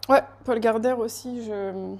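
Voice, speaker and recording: A woman's voice: a little high, steady tone, a little slow, a little mellow. A woman raised in France, chatting casually with live viewers while she bakes, greeting them by name and laughing often.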